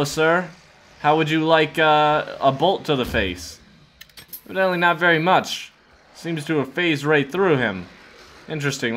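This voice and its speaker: A man's voice: fairly high pitch, sharp tone. A man in his twenties giving casual, jokey gaming commentary as a host, narrating a roleplay adventure with mock drama. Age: 20s.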